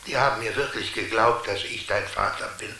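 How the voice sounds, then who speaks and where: An actor playing a kindly, scheming being from a television world in a radio drama, speaking colloquially and conspiratorially. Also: male voice, lowish voice, a little fast, gravelly, pushed and bright, exaggerated voice. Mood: assured, confiding. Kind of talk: German radio drama acting. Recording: roomy sound with faint hiss.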